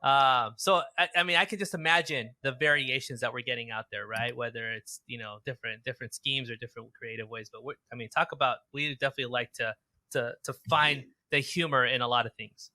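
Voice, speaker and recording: Man, podcast host, casual chat, eager, stop-start phrasing, bright tone, dry sound. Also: mid-range voice, fairly even intonation, a little fast, no room sound. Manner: eager, with frequent restarts and repeated words.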